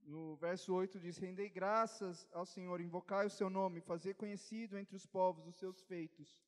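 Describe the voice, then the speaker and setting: A man interpreting a sermon live, turning each phrase over quickly and fluently. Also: high voice, steady tone. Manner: quick, fluent rendering with fillers. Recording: long hall reverb.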